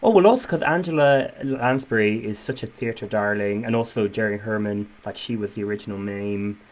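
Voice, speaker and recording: A co-host chats casually on a podcast in a medium-pitched voice. The delivery is informal and gossipy, full of giggly reactions and exclamations. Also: brisk, a little mellow. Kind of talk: casual chat, reacting to the main narrator.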